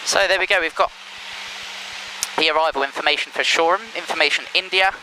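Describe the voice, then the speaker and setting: Fast-talking British pilot over cockpit noise. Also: male voice, fairly high pitch. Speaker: private pilot and vlogger. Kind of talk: radio calls and casual flight commentary. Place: small aircraft cockpit in flight. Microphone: aviation headset. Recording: loud light-aircraft cockpit noise.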